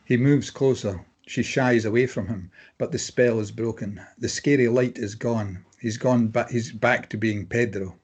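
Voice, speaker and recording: Casting: male narrator with a slightly rough, husky tone to read screenplay directions at a table read. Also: medium pitch, steady tone, normal speed, hardly any pauses, a little mellow. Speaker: narrator reading stage directions.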